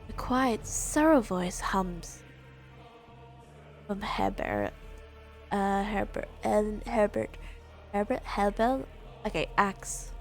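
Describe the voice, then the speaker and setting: A woman streamer casually playing through a game live, reading its text aloud in a halting, measured way. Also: mid-range voice, big pitch swings. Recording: game music behind voice. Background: video game music.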